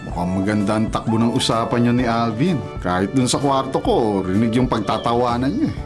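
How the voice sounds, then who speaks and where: A man's voice: medium pitch, lively intonation, a little fast, music in the background, close to an everyday voice. An actor playing an insistent, fond older father in a Filipino radio drama, teasing and paternal in delivery.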